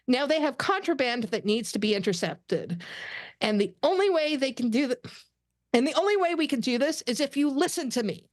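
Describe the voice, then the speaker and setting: A young woman acting as a space officer in pirate guise, commanding and insistent. Her voice is pushed high, in a dry recording for a sci-fi table read. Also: animated, normal speed, dry recording. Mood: stern. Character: young alien woman officer posing as tough pirate captain. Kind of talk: fan script table read.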